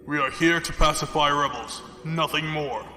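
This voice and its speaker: A man's voice: a little high, moderate pace, bright, forceful tone. A man playing a courtly, condescending, ruthless fleet commodore villain in an audio drama, speaking formally. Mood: smug, courtly. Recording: echoey hall reverb, music behind.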